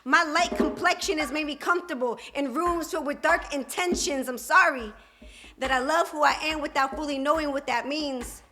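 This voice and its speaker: Young woman, spoken word poet, high-pitched, rhythmic emphatic delivery, live open mic. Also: normal speed, noisy background. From Puerto Rican and Dominican heritage. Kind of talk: spoken word poetry performance.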